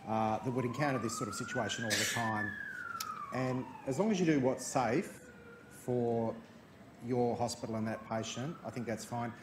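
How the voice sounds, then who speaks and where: A man speaking on a hospital panel, hesitant and halting. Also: medium pitch, echoey room, background noise. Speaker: panelist, clinician. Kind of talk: panel discussion.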